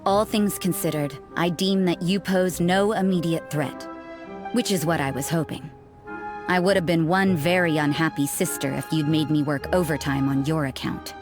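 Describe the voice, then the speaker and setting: A woman plays a stern young church sister in a game who handles her checks with cool, dry authority. Her voice sits low for a woman, and music plays behind her. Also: steady tone, moderate pace, lightly acted. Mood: businesslike. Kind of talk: fan dub of a game cutscene.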